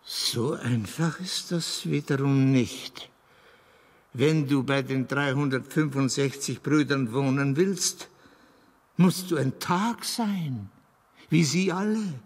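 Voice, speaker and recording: An actor playing the Moon as a patient, deliberate fairy-tale advisor in a radio play. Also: male voice, medium pitch, breathy edge. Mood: instructive, cautioning. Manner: deliberate, explanatory, with weighty pauses.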